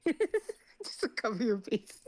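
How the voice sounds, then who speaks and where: A woman's voice: fairly high pitch, sing-song, slow pace, darkish tone, dry recording. A woman playing a tabletop game, casually describing what her character does. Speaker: player.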